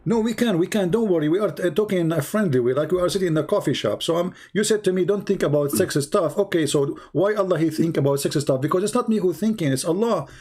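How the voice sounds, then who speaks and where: Guy hosting a call-in debate, fast talker, kinda high voice, keeps mocking and pushing the caller. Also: warm tone, breathy edge. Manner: mocking, persistent questioning. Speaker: debate host.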